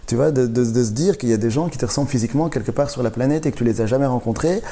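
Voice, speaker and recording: A man talks through his own story in a relaxed interview. His voice is soft and mellow, and his telling is lively, dotted with laughs and restarted sentences. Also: mid-range voice, very fast. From born in Rwanda, raised in Belgium. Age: 40s. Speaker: guest, comedian.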